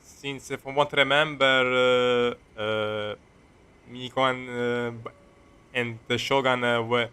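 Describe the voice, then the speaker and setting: A man's voice: medium pitch, flat delivery, slow, pushed and bright, clean tone. A man doing casual, rambling, jokey live commentary as he plays a game. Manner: rambling, jokey, repeats himself. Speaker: game streamer. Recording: streamer voice over game music. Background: game music.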